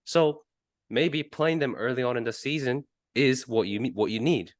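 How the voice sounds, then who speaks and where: A man co-hosting a casual football podcast, reasoning in a measured, halting way. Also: medium pitch, no echo, clean studio sound. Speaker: podcast co-host.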